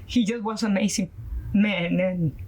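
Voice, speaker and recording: A woman from Colombia with a Colombian Spanish accent, a Spanish native speaker, telling her story earnestly as a podcast guest, pausing often with fillers. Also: mid-range voice, steady tone, darkish tone. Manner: earnest, halting, many fillers. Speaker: guest, nonprofit founder. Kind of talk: podcast interview, personal story.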